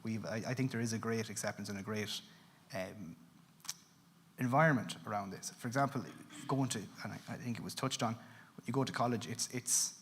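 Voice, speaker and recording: A young Irish man speaking on a panel, earnest and halting, often repeating words. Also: lowish voice, fairly bright tone. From Ireland. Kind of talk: panel discussion. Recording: reverberant hall, faint ambient noise.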